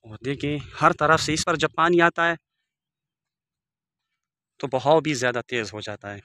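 Man in his forties, bright voice, dry close sound, outdoor phone vlog, formal devout phrasing. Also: a little high, expressive, a little slow, no echo. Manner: formal, devout phrasing with Arabic greetings and blessings. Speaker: village vlogger. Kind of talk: walking tour commentary. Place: outdoors in open riverbed countryside. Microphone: phone camera held by the speaker.

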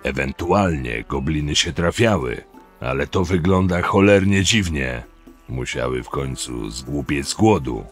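A gruff, weary guard captain acted by a man in a fantasy audio drama. His voice is extremely low and slow, blunt and exasperated, over faint music. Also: animated, exaggerated voice. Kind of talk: fan audio drama acting.

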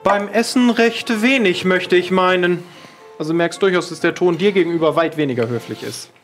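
A man's voice: high voice, normal speed, faint music behind. A man narrating a tabletop role-playing game as its game master.

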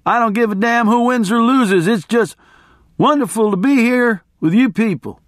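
A man voicing a rowdy, battered but elated biker, telling his tale loudly and profanely in a rambling way. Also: very high voice, normal speed, no echo, clean studio sound, pitched above the performer's own voice. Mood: boisterous, elated. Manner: loud, rambling, profane storytelling.

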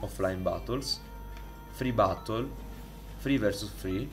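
A man with an Italian accent, speaking English as a second language, walks through a modding tutorial in a halting way, with many fillers and restarts, recorded on a desktop microphone. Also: fairly low pitch, music in the background. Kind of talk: casual screen-recorded tutorial. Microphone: desktop USB microphone.

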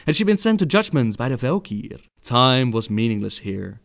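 A man with a mid-pitched voice narrates a fantasy game story. He is theatrical and emphatic, pausing dramatically for effect. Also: big pitch swings, creaky. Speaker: streamer narrating game lore.

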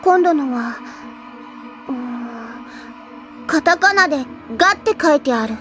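Anime schoolgirl detective, high voice, bossy n sure of herself, music behind. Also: lively intonation, takes it slowly, a bit bright, exaggerated voice. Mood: brisk, confident. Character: self-assured, bossy teenage schoolgirl detective. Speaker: voice actress. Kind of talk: anime audio drama acting.

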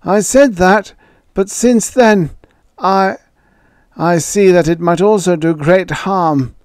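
A man voicing a frail, frightened old inventor who pleads in a high, quavering voice. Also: slow pace, darkish tone, no echo. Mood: fearful pleading.

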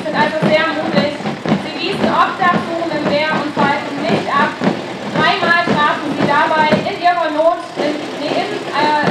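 A woman announcing a parade outdoors, reading from notes in a welcoming tone with occasional slips. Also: high voice. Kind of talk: reading announcements over loudspeaker. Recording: distant PA echoing down a street. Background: crowd noise and passing vehicles.

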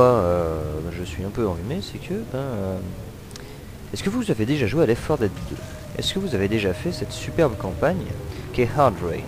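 A man's voice: mid-range voice, background noise. A man with a cold giving rambling, hesitant commentary while playing a video game. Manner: rambling, hesitant, many fillers. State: has a cold. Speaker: let's play commentator. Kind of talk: casual gameplay commentary.

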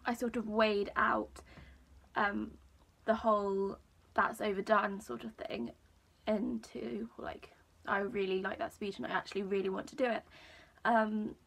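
A young woman vlogger with a high register and a croaky voice, chatting casually to camera in a small room, with lots of ums. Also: a little slow. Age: young adult. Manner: chatty, many ums, relaxed storytelling.

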